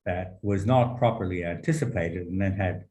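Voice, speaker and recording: Low dark-voiced guy on a policy panel, slow careful formal talk, lots of pauses, roomy echo. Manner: measured, deliberate, halting with long pauses; erudite, formal phrasing. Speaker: panel speaker. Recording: roomy echo with some background noise.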